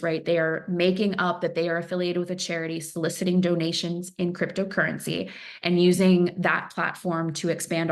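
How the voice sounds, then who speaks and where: Earnest woman presenting a cyber briefing. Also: on the low side. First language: Farsi speaker. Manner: earnest, emphatic, repeats phrases for stress. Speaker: threat intelligence analyst presenting. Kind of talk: webinar presentation.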